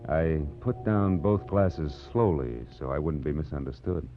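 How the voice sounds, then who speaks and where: A man's voice: a bass voice, animated, measured pace. An American man playing a wry, hard-boiled private detective in a 1940s radio drama, sardonic and clipped. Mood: tense narration. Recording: dull vintage radio recording, music behind.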